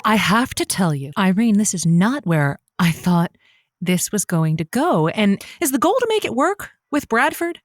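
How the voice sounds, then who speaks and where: A woman's voice: medium pitch, big pitch swings, normal speed, no echo, clean studio sound, close to an everyday voice. A woman playing a chatty, sympathetic host of a comedic radio call-in show, with a flowery way of talking. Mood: curious, encouraging. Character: chatty, sympathetic, morbidly curious female radio advice host.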